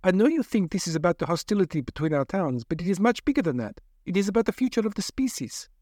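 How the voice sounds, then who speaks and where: A man voicing a brisk, coolly polite female doctor who experiments on captives, in a storybook reading. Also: sits high, very animated, darkish tone, no room sound, clean studio sound, voice pushed up. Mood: coaxing. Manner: polite, clipped, coolly insistent.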